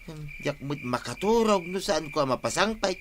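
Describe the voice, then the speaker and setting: A man's voice: fairly high pitch, lively intonation, moderate pace, close to an everyday voice. Man acting an anxious husband over noisy cricket ambience. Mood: worried. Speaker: radio drama actor. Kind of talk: radio drama acting in Ilocano. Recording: noisy track with chirping crickets.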